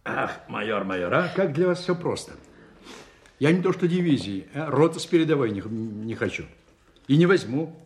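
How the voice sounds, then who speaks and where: An actor in a radio play voicing a stubborn, sardonic counterintelligence general with an emphatic, authoritative delivery. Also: male voice, mid-range voice, expressive, moderate pace, close to an everyday voice. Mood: indignant, firm. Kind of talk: Soviet-style radio play acting.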